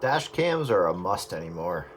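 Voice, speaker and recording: A man's voice: sits low, big pitch swings, moderate pace. A man chatting casually over a two-way radio. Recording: very noisy radio transmission, faint.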